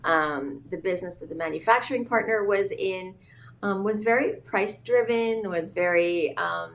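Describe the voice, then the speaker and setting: A woman's voice: medium pitch, normal speed, slight background noise. A woman from Hawaii, a business founder, talking as a guest in a casual interview, thoughtful and hesitant with many fillers.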